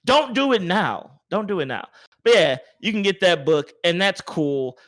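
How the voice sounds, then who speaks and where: Higher-pitched man hosting a casual podcast. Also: very animated, moderate pace, a bit bright. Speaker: podcast host. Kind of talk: casual gaming podcast discussion.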